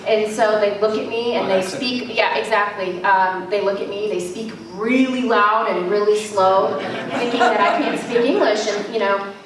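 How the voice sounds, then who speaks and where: A woman's voice: medium pitch, normal speed, a crowd in the background. A young woman speaking earnestly into a handheld mic at a panel discussion, pleading with her audience. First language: Arabic or Turkish. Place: large church hall. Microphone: handheld mic at a podium. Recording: echoey hall from far off.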